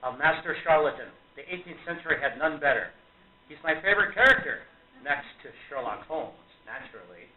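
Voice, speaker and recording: A proud, eager male stage magician played by an actor in a radio drama. His delivery is animated and emphatic, with earnest feeling. The recording is tinny and echoey. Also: on the high side, a little fast, lightly acted. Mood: enthusiastic. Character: proud, boyishly enthusiastic male stage magician, warm to friends. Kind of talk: radio play acting. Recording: tinny phone-like, roomy recording.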